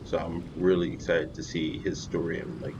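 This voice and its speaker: A man's voice: sits low, level tone, normal speed, muffled recording, noisy background. A young adult man giving casual commentary as he hosts a gaming video. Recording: loud game music behind voice. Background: game music.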